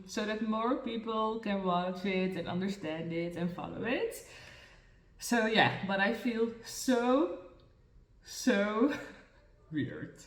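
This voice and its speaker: A young woman with a Dutch accent talks casually to her camera while doing her makeup at home. She sounds self-conscious, using lots of fillers and restarting her sentences. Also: medium pitch. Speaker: beauty and mental health vlogger. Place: room in a new home, indoors. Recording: echoey room, mic far from the speaker.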